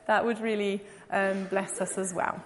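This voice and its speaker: A woman's voice: medium pitch, flat delivery, unhurried, slight background noise. An English woman in her forties gives an informal talk to a church audience as a missionary speaker, chatty with many ums and light laughing asides. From England. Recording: long reverb of a large hall.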